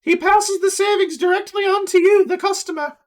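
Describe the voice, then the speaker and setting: A man's voice: very high voice, fairly even intonation, brisk, pitched above the performer's own voice. A man voicing a pushy male street merchant with a put-on Cockney accent and salesman patter. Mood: hard sell.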